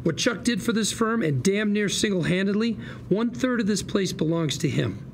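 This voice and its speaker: A scrappy, high-pitched, gravelly lawyer. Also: male voice, lively intonation, moderate pace, a little hiss or hum. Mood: indignant, sarcastic. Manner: sarcastic, combative, emphatic. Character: scrappy, indignant male lawyer, sarcastic and theatrical. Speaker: actor at a script table read. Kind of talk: acted script read at a table.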